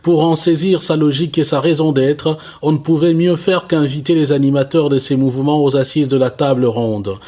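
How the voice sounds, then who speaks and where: Male news narrator, scripted voiceover, mellow darkish tone, kinda high.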